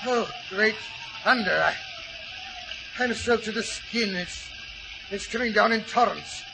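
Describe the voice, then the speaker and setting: An actor playing a nervous, blustering gentleman sidekick, stammering and fussing through a 1940s radio drama. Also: high voice, measured pace, gravelly, over music. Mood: breathless alarm. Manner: stammering, fussy, exclamatory. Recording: noisy old 1940s radio recording.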